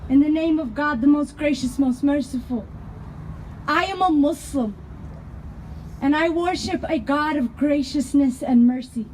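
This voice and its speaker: A young woman from Denver performing spoken word poetry, rhythmic and impassioned, building to shouted lines. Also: sits high, measured pace, a little hiss or hum, poor audio. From Denver, Colorado.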